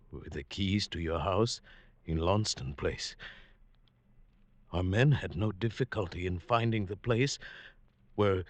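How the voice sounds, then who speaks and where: Casting: pompous English professor, deep voice, dry close sound, vintage radio thriller, theatrical and self-satisfied. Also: male voice, dry recording. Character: fussy, smug English professor hiding a murder. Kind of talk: 1940s radio acting.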